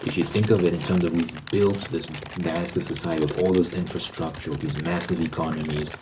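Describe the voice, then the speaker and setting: Male ASMR host, very low voice, halting explanatory delivery with repeated phrases, noticeable background noise. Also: steady tone. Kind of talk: ASMR ramble, explanatory monologue.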